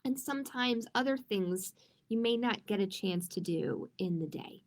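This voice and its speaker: A woman hosting a children's storytime, reading picture books expressively and speaking deliberately, straight to her young listeners. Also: mid-range voice, expressive. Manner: slow, deliberate, addressing young listeners directly. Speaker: children's librarian storytime host. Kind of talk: expressive picture book read-aloud for children.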